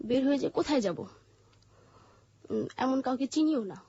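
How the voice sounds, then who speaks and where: Bangladeshi female interview guest, high-pitched husky voice, halting personal narration, dull lo-fi sound. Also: expressive, dark tone. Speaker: interview guest telling her life story.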